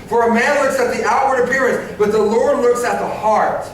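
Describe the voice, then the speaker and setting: A man in his forties preaches from the pulpit in a high, conversational voice, stumbling into restarts and checking in with his listeners. He sounds distant, in a strongly echoing church. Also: steady tone, crowd noise. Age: 40s. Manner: halting, many restarts and stammered repeats; conversational, asks the congregation questions. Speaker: pastor. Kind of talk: sermon. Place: church sanctuary. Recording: very echoey church, voice from far off.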